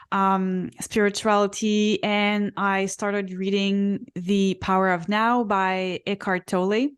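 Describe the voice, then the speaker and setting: A woman in her forties co-hosts a casual spiritual podcast. She speaks at an unhurried, deliberate pace, with frequent pauses and ums as she recalls her story. Her pitch sits in an ordinary middle range. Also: clean tone. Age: 40s. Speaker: podcast co-host, Akashic reader.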